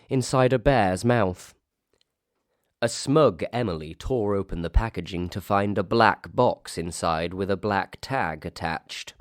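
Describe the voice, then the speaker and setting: A man with a Northern English accent reading a comic ghost story aloud, lively, lifting into high voices for the quoted characters. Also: no echo, studio quality. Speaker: reader.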